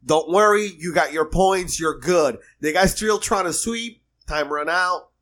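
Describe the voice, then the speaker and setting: A man's voice: a little high, a little slow, a bit bright. A man co-hosting a casual chat, walking through a jiu-jitsu match step by step as the coach. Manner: recounting events step by step.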